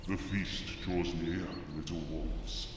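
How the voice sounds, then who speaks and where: An actor playing an ominous, bleak prophet in a video game, speaking in a solemn, portentous way with cavernous reverb. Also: male voice, low voice, level tone, slow. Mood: foreboding. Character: ominous male prophet, slow, bleak and foreboding. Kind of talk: voiced video game dialogue. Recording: cavernous long reverb, noisy ambience.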